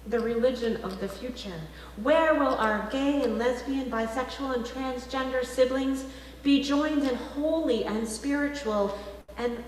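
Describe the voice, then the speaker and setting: A woman minister preaches in a large, very reverberant church space with faint noise behind her. Her voice sits a little high. She phrases emphatically, with deliberate pauses in the manner of a sermon. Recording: very echoey hall, faint background noise.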